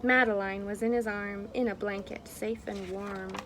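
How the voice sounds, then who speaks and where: A woman teacher doing an interactive picture-book read-aloud for young children, expressive and encouraging. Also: medium pitch, normal speed. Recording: clearly noisy background.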